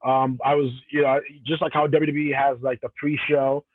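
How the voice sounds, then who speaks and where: A man's voice: medium pitch, little pitch movement, normal speed, no echo, phone-quality sound. A professional wrestler from Cameroon, a man answering interview questions in a casual, rambling way with frequent restarts. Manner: rambling, many restarts and self-corrections.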